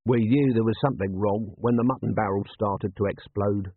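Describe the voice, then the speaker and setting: A man's voice: on the low side, fairly even intonation, normal speed, husky, dry recording. A man voicing a grumbling, plain-spoken private who mutters in working-class speech in an audiobook. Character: grumbling rank-and-file soldier, coarse and plain-spoken. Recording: thin, band-limited, phone-like sound.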